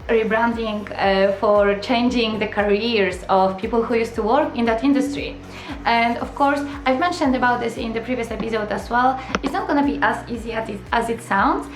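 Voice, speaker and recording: A young woman with a fairly high voice explains things earnestly to her viewers, with "uh" and "um" fillers and direct questions to the audience. Her voice rings in a roomy, echoey space, with faint music underneath. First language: Polish. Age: young adult. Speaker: vlogger. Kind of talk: casual explainer vlog to camera.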